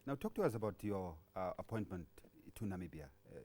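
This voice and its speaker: A male interviewer calmly asking his guest questions, with frequent fillers. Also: sits low, brisk. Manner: calm, attentive, frequent fillers. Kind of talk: interview, backchannelling.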